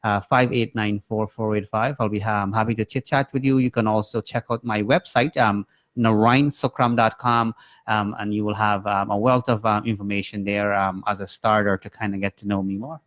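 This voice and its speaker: A man's voice: on the low side, little pitch movement, moderate pace, a bit bright, no echo. A man from Guyana hosting a radio talk show, chatting in a friendly, rambling way with many fillers. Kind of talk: casual chat. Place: radio studio.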